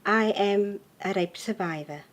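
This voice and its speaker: A woman in her late forties giving earnest, halting personal testimony to camera. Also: medium pitch. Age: 40s. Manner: halting, earnest, soft-spoken. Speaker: interviewee.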